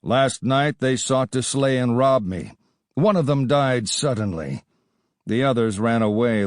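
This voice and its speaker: A man's voice: medium pitch, smooth, no echo, studio quality, close to an everyday voice. A man voicing a confident, swaggering Kurdish desert rider who speaks boldly and proudly. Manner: bold, proud, flattering.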